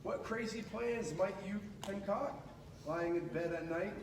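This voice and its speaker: High-voiced man reading aloud in an echoey room. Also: measured pace. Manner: expressive, with casual asides to listeners. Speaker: storybook reader at a school. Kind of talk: animated children's picture book read-aloud. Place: indoors at a school. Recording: echoey room, distant mic, noisy background.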